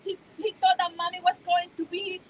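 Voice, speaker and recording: A woman's voice: very high voice, level tone, brisk, a little hiss or hum. A woman from El Salvador, speaking earnestly at an outdoor press conference and often restarting her sentences. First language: Spanish. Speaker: healthcare worker, TPS holder speaking. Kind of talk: impassioned press conference speech.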